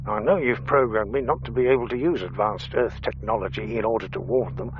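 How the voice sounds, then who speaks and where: An actor playing an older, earnest alien agent posing as a human dad, giving formal, measured reports in a radio comedy drama. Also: male voice, on the low side, slight background noise, natural voice. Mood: pleading, grave. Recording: dull band-limited recorded message over music.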